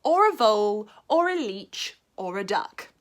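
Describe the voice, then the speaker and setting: A woman's voice: medium pitch, big pitch swings. A woman voicing a grumpy, put-upon cat in a storybook reading, whining and drawing out her complaints. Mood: indignant. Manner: whiny, drawn-out complaints.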